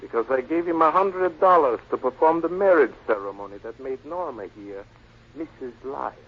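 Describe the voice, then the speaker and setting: An actor in a 1940s radio drama playing a smug, smooth-talking suitor. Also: male voice, mid-range voice, lively intonation, moderate pace, close to an everyday voice. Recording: dull old radio broadcast with hiss.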